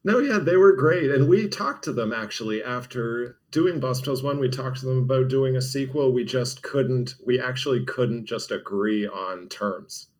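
A man's voice: medium pitch, moderate pace, hardly any pauses. A man who is a game developer, answering interview questions in an easygoing, diplomatic way. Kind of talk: casual interview chat.

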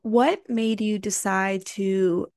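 A woman hosting an interview, asking quick, curious questions. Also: mid-range voice, steady tone, creaky, darkish tone, no room sound, clean studio sound. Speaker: podcast host.

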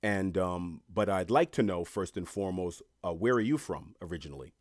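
Low-voiced man hosting a podcast. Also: brisk. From Haiti. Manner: animated storyteller, rising and falling in emphasis. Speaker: podcast host. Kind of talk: casual podcast interview.

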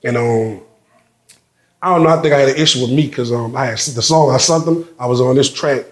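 A man's voice: medium pitch, brisk, reverberant. A man telling stories as a guest in a casual interview, acting out what others said and often repeating words. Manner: animated storyteller, acts out quotes, repeats words.

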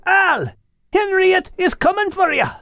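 A man's voice: very high voice, expressive, unhurried, pushed and bright, no room sound, clean studio sound, pitched above the performer's own voice, exaggerated voice. A man voicing a protective, teasing male dog with a Scottish accent and a hearty, blunt manner in an audiobook. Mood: mischievous. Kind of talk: animated audiobook character reading.

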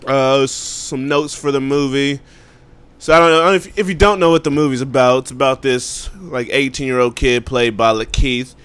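A man's voice: mid-range voice, steady tone, moderate pace, fairly bright tone, smooth, no room sound. A man co-hosting a movie podcast, talking in a loose, slangy, rambling way. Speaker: podcast co-host. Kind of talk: casual movie chat.

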